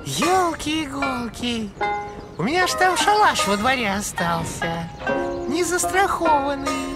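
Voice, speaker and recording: A man voicing an excitable, simple-minded male cartoon animal who exclaims a lot, over cartoon music. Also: very high voice, slow pace, full character voice.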